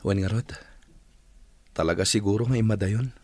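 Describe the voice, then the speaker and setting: A man's voice: fairly low pitch, moderate pace, a little mellow, a little hiss or hum, close to an everyday voice. An actor playing a disapproving village man trading gossip in a radio drama. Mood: regretful.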